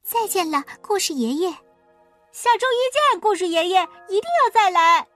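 A woman voicing eager young chicks in a cartoon dub, talking in a bright, excited way. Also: very high voice, normal speed, faint music behind.

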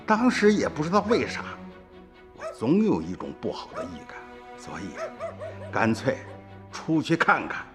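A folksy, animated old farmer storyteller. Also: male voice, a little high, normal speed, pauses often, soft background music. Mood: reflective, uneasy. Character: worried, earthy old farmer recounting his past to family. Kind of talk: radio drama narration and acting.

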